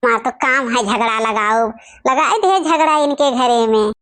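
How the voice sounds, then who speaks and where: Woman voicing a high-pitched, sly village gossip. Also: a little slow, a bit bright. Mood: gleeful scheming. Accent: Awadhi. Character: meddling, sly village gossip who stirs quarrels. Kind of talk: cartoon family comedy dialogue.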